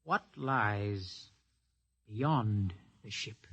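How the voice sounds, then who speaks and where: An actor playing an impetuous, questioning young rebel in an old radio drama, forceful and challenging. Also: male voice, lowish voice, animated, drawn-out words, husky, exaggerated voice. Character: impetuous, defiant, questioning young man, a heretic. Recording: noisy old radio recording.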